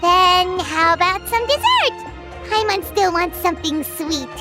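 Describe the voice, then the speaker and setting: A tiny, ever-hungry fairy girl chatters in a very high, squeaky voice. Her pitch leaps around with childlike excitement. Game music plays clearly behind her. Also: measured pace, exaggerated voice. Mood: cheerful. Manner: speaks of herself in third person, chirpy. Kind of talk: game voice acting.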